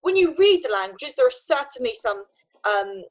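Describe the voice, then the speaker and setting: A young woman in casual conversation, animated, often restarting her sentences and laughing between thoughts. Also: sits high, moderate pace. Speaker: guest.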